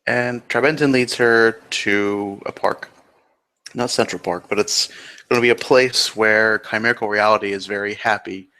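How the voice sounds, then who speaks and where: A man improvising descriptive scene-setting as game master of a tabletop roleplay, with hesitant pauses. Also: fairly low pitch, steady tone, pushed and bright.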